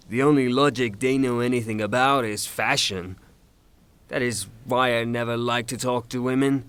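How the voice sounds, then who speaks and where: A man's voice: medium pitch, a bit bright, clear, resonant tone, dry recording, lightly acted. A man playing an angry, blustering, woman-hating creditor, ranting theatrically in an audio drama. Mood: scornful, indignant. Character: angry blustering male creditor, hot-tempered woman-hater.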